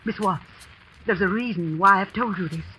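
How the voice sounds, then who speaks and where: A woman acting as a grave, shrewd housekeeper in a vintage radio thriller. She has a medium-pitched, keen-edged voice and confides with deliberate earnestness. The old recording carries slight noise. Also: pushed and bright, natural voice, rough recording. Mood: grave, conspiratorial. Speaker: radio actress. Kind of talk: 1940s-50s radio suspense acting.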